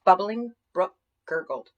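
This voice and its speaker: A woman storyteller reading scripted narration for children in an expressive, deliberate manner. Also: fairly low pitch, muffled recording.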